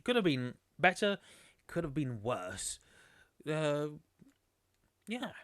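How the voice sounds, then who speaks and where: A man's voice: fairly high pitch, sing-song, takes it slowly, fairly bright tone, no echo, studio quality. A man commentating a sim racing league on a live stream, chatty and rambling, losing his voice. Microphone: desktop microphone.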